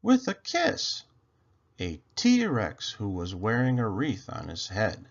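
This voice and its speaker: A man reading a rhyming children's story aloud in an expressive, theatrical way with drawn-out phrasing. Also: lowish voice, muffled recording.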